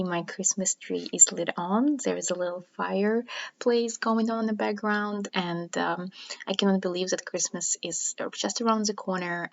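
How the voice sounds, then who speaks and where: Ukrainian woman vlogging, low and chatty. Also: vocal fry, lo-fi sound. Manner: chatty, with many ums and uhs. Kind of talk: casual to-camera vlog. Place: living room with a lit tree and fireplace.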